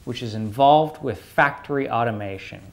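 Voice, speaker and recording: A man's voice: mid-range voice, normal speed. A man in his forties hosting an interview and asking questions with an upbeat, enthusiastic manner. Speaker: host. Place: living room set.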